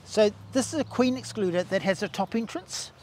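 A man's voice: high-pitched, expressive, moderate pace, darkish tone, slight background noise. A man in his sixties with a New Zealand accent, a bee scientist explaining hive equipment outdoors in a garden, talking with restarts and gestures. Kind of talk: outdoor demonstration talk. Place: garden outdoors beside beehives.

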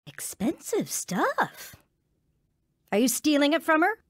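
A woman's voice: high-pitched, big pitch swings, slow, dry recording, clean studio sound, heavily acted. A woman playing a cruel, angry mother in an audio drama, scolding and belittling with heavy sarcasm.

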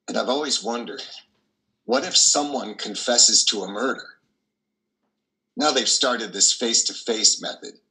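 A man's voice: fairly low pitch, pushed and bright, rough recording. An older man in his sixties playing a weary, haunted priest in a reflective, wry dramatic monologue. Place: dim wood-trimmed room at home, video call.

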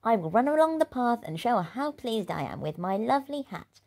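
A woman's voice: on the high side, very animated, fairly quick, darkish tone, voice pushed up. A woman voicing a polite, timid young male wolf cub in a storybook read-aloud, sweet, eager and prim. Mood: earnest, polite.

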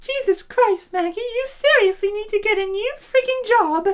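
A woman's voice: very high-pitched, moderate pace. A woman voicing exclamatory young women in a comic dub, with exaggerated delivery. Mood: exasperated. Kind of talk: comic dub character voices.

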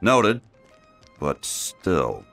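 An actor playing a wary, heroic space ranger action figure in a video game, formal and commanding. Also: male voice, low voice, big pitch swings, extremely slow, vocal fry, a bit bright, no echo, faint music behind, exaggerated voice. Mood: serious, questioning. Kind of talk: animated video game dialogue.

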